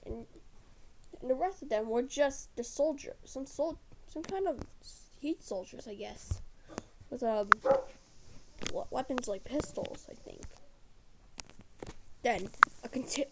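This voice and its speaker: A woman's voice: sits high, mellow. A woman improvising a story in a hesitant way, repeating herself and trailing off. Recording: noisy amateur recording with clicks.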